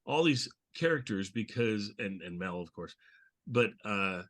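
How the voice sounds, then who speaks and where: A man's voice: on the low side, animated, a little slow, a bit bright. A man hosting a casual podcast discussion, chatty and appreciative, often restarting his sentences. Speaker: podcast host discussing old television and radio comedy.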